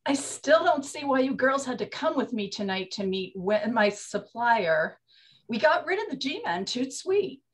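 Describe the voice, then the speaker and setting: A woman's voice: on the high side, big pitch swings, a little slow. An actress playing a bossy, slangy woman bootlegger in a 1920s comedy radio play. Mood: evasive.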